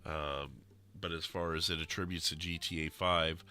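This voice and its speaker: A young man streaming a game, sounding nasal and rough after being sick, explaining things casually with lots of fillers. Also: rumbling low, normal speed. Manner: relaxed, rambling explanations with many fillers. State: nasal and rough from recent illness. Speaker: streamer explaining a roleplay server. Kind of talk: casual gameplay commentary.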